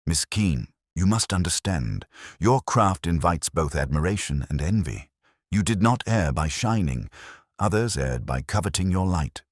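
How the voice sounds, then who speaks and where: Male narrator as weighty male detective, very deep, grandiloquent, formal, lively emphasis, detective audiobook. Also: unhurried, no echo, studio quality. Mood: grave. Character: weighty, pompous, brilliant male private detective.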